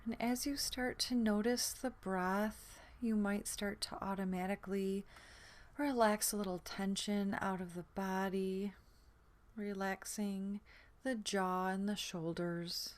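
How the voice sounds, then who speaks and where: A woman with a mid-pitched, soft voice leads a sleep meditation. She speaks slowly and gently, leaving room between phrases. Her words often fade into a breathy, soothing near-whisper. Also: fairly even intonation. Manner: soothing, gentle, unhurried with long pauses. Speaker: guided meditation instructor.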